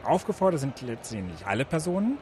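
A man's voice: mid-range voice, big pitch swings, quick pace. A man answering interview questions as a guest, explaining things with examples. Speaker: interview guest, science organisation director. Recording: noisy event ambience with traffic.

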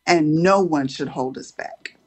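A woman's voice: sits low, a little slow. A woman co-hosting a faith podcast, enthusiastic and thankful as she speaks to her guest. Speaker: podcast co-host. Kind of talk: casual chat with preaching tone.

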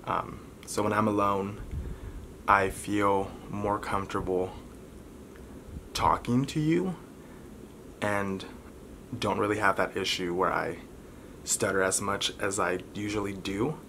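A man in his twenties speaks in a fairly low voice, slowly and with frequent pauses. He talks candidly and personally to the camera, recorded in a bedroom with clear background noise. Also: steady tone, pushed and bright. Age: 20s. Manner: halting, pausing often between short phrases. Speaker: vlogger. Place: bedroom, indoors. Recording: clearly noisy room recording.

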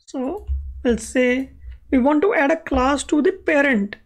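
High-voiced woman giving a coding tutorial. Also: expressive, a little slow. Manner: instructional, explanatory. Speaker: web accessibility instructor. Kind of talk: step-by-step screencast coding tutorial.

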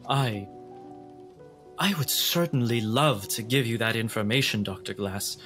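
A man's voice: mid-range voice, unhurried, fairly bright tone, lightly acted. Guy doing a stuffy polite ship officer for a tabletop rpg, guarded, very formal, music behind.

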